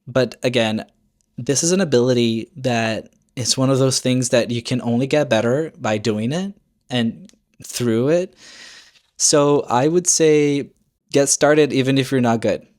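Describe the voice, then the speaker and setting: An earnest young man talks straight to his viewers in a casual vlog, speaking English, which is not his first language, at an average pitch. He sounds encouraging and often hunts for a word and starts a phrase over before going on. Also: measured pace, a little mellow. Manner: earnest and encouraging, searches for words and restarts. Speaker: YouTuber. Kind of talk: casual advice vlog to camera.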